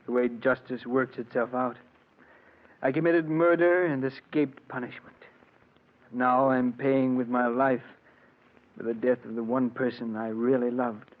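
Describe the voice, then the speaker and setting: A man's voice: very high voice, animated, normal speed. An actor playing a desperate, pleading husband accused of killing his wife, in 1940s radio drama style. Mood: subdued, resigned. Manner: impassioned, pleading. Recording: noisy, muffled old radio recording.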